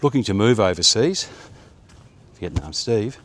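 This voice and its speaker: A man's voice: on the low side, lively intonation, measured pace. A man in his fifties vlogging while he walks down a suburban street, chatting casually with lots of fillers, recorded on a camera held at arm's length. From Australia. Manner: rambling, chatty, with many fillers. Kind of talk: walk-and-talk vlog. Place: quiet suburban street outdoors, sunny. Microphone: phone or action camera held at arm's length.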